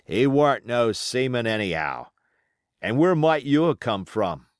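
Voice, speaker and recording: A man voicing a rough, wheedling wounded pirate sailor from an adventure storybook, with drawled, folksy speech and rustic grammar. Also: medium pitch, very animated, a little slow, no room sound, studio quality. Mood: gruff, complaining.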